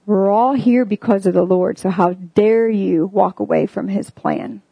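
A woman's voice: mid-range voice, unhurried, creaky, breathy edge, dry recording, dull audio. A young woman giving a casual, earnest sermon, filling her talk with "like" and "um". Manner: earnest, full of "like" and "um" fillers. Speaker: preacher.

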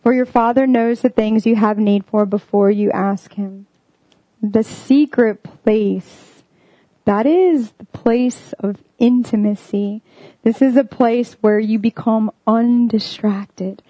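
A woman's voice: a little high, steady tone, slow, warm tone, dry recording, low-quality recording. A young woman giving a casual, earnest sermon, filling her talk with "like" and "um". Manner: earnest, full of "like" and "um" fillers. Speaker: preacher.

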